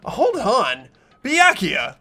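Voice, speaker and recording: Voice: mid-range voice, huge pitch swings, drawn-out words, sharp tone, husky, exaggerated voice. An actor voicing an earnest, anxious teenage boy hero in a video game. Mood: alarmed. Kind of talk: amateur video game voice-over. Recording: background music throughout.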